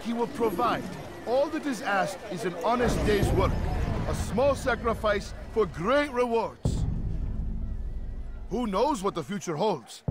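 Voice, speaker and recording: An actor playing a devoted, preachy follower who declaims reverently for his master in a video game. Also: sits high. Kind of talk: video game cutscene acting.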